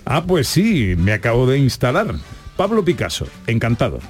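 A man's voice: mid-range voice, sing-song, moderate pace, soft background music, lightly acted. A man voicing a reserved, polite young painter in a radio sketch, wary and understated. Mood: uneasy, polite. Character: reserved, polite young Spanish male painter, newly arrived.

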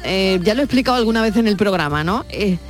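A middle-aged woman from Andalusia hosting a radio talk show, speaking in a measured, neutral broadcast style. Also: medium pitch, expressive, over music. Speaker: radio host.

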